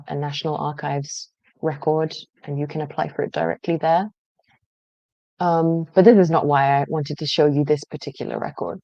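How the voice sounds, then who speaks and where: A woman presenting a genealogy database, explaining each step in a conversational way with frequent pauses. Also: fairly low pitch, warm tone, dry recording, dull audio. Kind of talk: screen-share database walkthrough.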